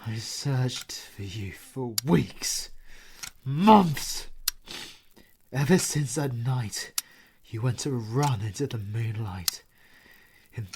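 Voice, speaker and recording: A British man playing a grieving, bitter werewolf villain in an audio roleplay, speaking in a theatrical, anguished, breathy manner. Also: medium pitch, expressive, noisy background. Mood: wistful, intense. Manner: slow, theatrical, anguished, often breathy and half-whispered. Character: grieving male werewolf villain, bitter and powerful, mourning his mother. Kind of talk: scripted audio roleplay monologue.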